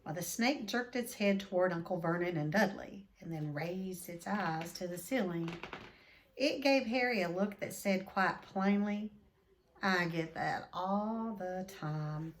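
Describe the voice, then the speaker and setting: A woman reading a children's book aloud expressively, with deliberate dramatic emphasis. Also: mid-range voice, expressive, slight background noise. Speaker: read-aloud storyteller.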